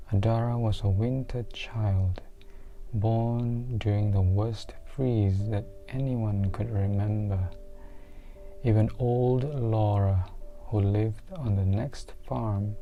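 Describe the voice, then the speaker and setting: A soft-spoken reader giving an ASMR storybook reading, hushed and deliberate, pausing often between phrases and slipping into near-whispers. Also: mid-range voice, phone-quality sound. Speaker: book reader.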